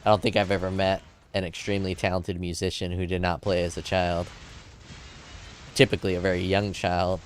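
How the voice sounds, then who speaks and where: A man's voice: low voice, level tone, brisk, slight background noise. A man streaming a game from his home desk, chatting casually and reading chat messages aloud, a little drunk. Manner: laid-back, rambling, joking. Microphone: desk mic in front of keyboard.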